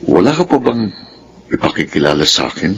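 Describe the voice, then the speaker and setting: An actor playing a doting, gently nagging middle-aged father in a radio drama, with a Filipino accent. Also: sits low, very animated, brisk, gravelly, highs cut off, exaggerated voice. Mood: coaxing, fatherly. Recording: faint background noise.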